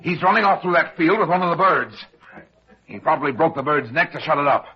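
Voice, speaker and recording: Husky take-charge radio detective. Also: male voice, fairly high pitch, expressive, brisk. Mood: urgent. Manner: clipped, commanding, explains deductions confidently. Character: quick-witted, take-charge male private detective on a murder case. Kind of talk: old-time radio detective acting. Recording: dull, muffled old radio drama recording.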